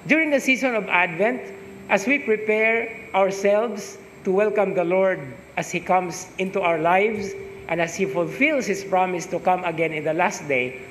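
High-voiced Filipino priest in echoing church. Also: male voice, normal speed, a bit bright. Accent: Filipino, Cebuano. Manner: reflective, mixes English with Cebuano, tag "no?" after points. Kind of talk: homily at Mass. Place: large church altar. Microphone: stand mic in front, wearing a face mask. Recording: echoey church with long reverb, faint hiss.

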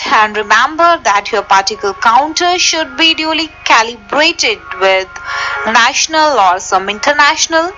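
A woman's voice: fairly high pitch, bright, forceful tone, lo-fi sound. A woman giving a step-by-step technical lesson as a voice-over, talking straight to her listeners. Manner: addresses listeners directly, step by step. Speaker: instructor. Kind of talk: slide-based technical lesson. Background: background music.

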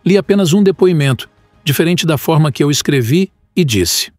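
A man's voice: fairly high pitch, lively intonation, moderate pace, darkish tone, dry recording, faint music behind. Brazilian newsman, dry close sound. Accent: Brazilian Portuguese. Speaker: news reporter. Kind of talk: news report reading.

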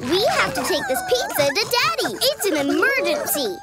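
A woman's voice: extremely high pitch, wildly swooping pitch, normal speed, over loud music, full character voice. A woman voicing a plucky, cheerful little girl in a children's cartoon, eager and exclaiming as she commands her pet. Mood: bright, determined.

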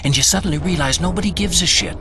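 An actor playing a bitter, menacing corrupt cop with a sneering, contemptuous manner in a game cutscene. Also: male voice, fairly high pitch, fast, over music, close to an everyday voice. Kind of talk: cinematic video game cutscene acting.

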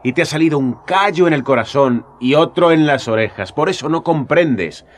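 An actor playing a young, earnest Jesus in a radio drama, talking with passionate urgency. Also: male voice, fairly high pitch, animated, normal speed, a little hiss or hum, natural voice. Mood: reproachful. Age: young adult.

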